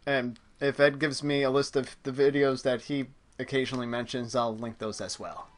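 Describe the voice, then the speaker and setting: A man's voice: medium pitch, little pitch movement, normal speed. A man hosting a gaming show, chatting casually and commenting live as he plays. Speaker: gaming show host playing a video game. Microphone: microphone on a group internet voice call.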